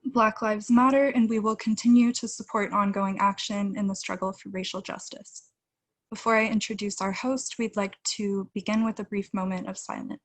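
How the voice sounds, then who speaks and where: A young woman hosting an online event, reading a formal introduction in a measured way over a webcam video call from a room at home. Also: mid-range voice, fairly even intonation, no echo. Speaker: event host. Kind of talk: read introduction.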